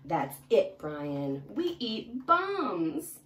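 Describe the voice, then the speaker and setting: A woman voicing an exasperated meat-loving piranha in a children's storybook, scolding with drawn-out emphatic punchlines. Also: medium pitch, huge pitch swings, fairly bright tone, exaggerated voice. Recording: roomy, distant mic, faint noise.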